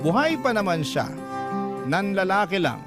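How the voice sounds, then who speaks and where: A narrator reading a personal letter in a confiding storytelling manner for a radio drama. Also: female voice, mid-range voice, sing-song, normal speed. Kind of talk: scripted narration. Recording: loud guitar music under voice.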